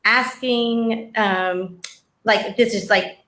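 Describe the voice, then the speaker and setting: A woman's voice: on the high side, fairly even intonation, takes it slowly, a bit bright. A woman hosting a casual live show, chatty and rambling, often laughing as she talks. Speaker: host.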